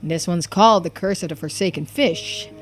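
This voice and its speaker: A woman voicing a kind, earnest gentleman hero in a video game, theatrical and quietly resolute. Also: on the low side, normal speed, natural voice. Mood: bluffing, playful. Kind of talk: video game character dialogue read-aloud. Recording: music behind the voice.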